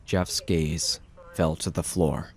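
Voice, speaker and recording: A man's voice: low voice, little pitch movement, slow pace. A man reading scripted narration for a horror audio drama.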